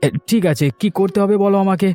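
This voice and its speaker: A man's voice: high voice, fast talker, mellow, lightly acted. A man voicing a simple, trusting village husband in a cartoon story, speaking in a mild, hesitant manner. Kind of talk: Bengali cartoon story voice acting. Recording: music bed under the voice.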